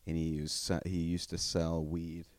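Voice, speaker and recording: A man's voice: low voice, monotone, moderate pace, darkish tone, no echo. A young man from North Carolina, a comedian guest telling rambling, laid-back stories in a casual podcast chat. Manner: rambling, laid-back storytelling with many fillers.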